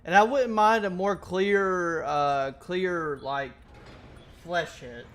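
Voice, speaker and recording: A young man streaming a game, musing aloud in loose, self-correcting sentences. His voice sits a little high for a man and sounds bright and forward. He drifts from point to point in easy casual chat. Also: takes it slowly.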